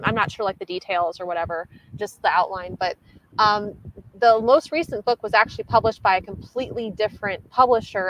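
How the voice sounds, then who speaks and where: A woman's voice: mid-range voice, poor audio. Rambling casual woman over background noise. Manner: hesitant, rambling, peppered with "like". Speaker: co-host of a reading livestream. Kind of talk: casual book chat.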